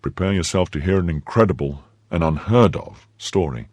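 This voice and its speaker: A man's voice: low voice, moderate pace, darkish tone, no room sound. A man voicing an impetuous young swordsman in an audiobook, speaking with agitated, dramatic urgency. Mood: grave urgency. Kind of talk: audiobook character acting.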